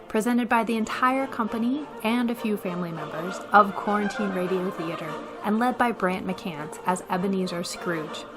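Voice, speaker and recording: A woman narrator reads a classic tale for radio in a mid-pitched voice. Her phrasing is deliberate and theatrical, with emphatic beats, and faint music plays behind her. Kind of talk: scripted storytelling narration for radio drama.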